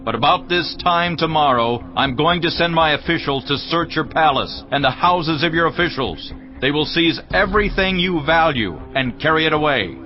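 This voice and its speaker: An imperious herald acted by a man proclaims in a fairly high voice, drawing out the words. The audio drama sounds slightly lo-fi, with music underneath. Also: expressive, natural voice. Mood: imperious, threatening. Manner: declaiming, drawn-out proclamation. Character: imperious herald delivering a warlord king's demands. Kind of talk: dramatised audio Bible acting. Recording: dull, lo-fi, radio-like audio. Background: background music.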